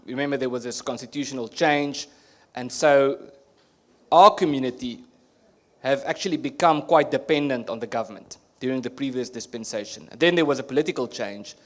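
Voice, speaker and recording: A man from South Africa with an Afrikaans accent, a native Afrikaans speaker, answering as a guest at a panel discussion in an earnest, explanatory way, often restarting his sentences. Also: mid-range voice. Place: conference hall.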